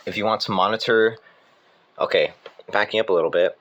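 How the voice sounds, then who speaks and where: A man casually presenting equipment as a hobbyist, in an informal, halting manner, correcting himself as he goes. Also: on the low side, a bit bright. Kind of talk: casual hands-on demonstration. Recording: clear background noise.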